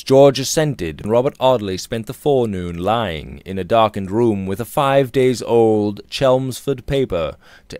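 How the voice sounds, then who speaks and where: A man's voice: mid-range voice, a little mellow, dry recording. A man in his thirties reading literary fiction aloud in a theatrical, old-fashioned storytelling manner, close on a microphone. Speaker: audiobook reader. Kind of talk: scripted literary narration. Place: small room indoors. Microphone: close condenser mic on a boom arm.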